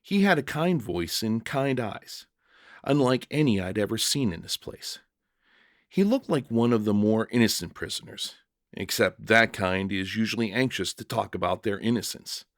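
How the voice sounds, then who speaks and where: A man narrating a short story in the first person, in a measured, reflective and dramatic reading. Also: lowish voice, animated, no room sound, clean studio sound.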